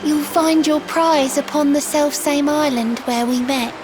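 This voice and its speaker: An actress playing a lovelorn, wistful mermaid who speaks in lilting rhyming verse for a video game. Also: female voice, high voice, fairly even intonation, unhurried. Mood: grateful, resigned. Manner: lilting, sing-song, dramatic delivery. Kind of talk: theatrical video game voice acting in rhyme. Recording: music under the voice.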